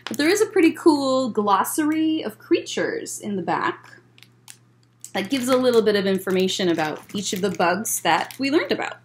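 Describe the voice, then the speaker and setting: Woman in her thirties, children's librarian, picture-book read-aloud, highly varied intonation, measured phrases, chatty asides, faint noise in the recording. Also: sing-song. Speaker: children's librarian reading at story time. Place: library room, indoors.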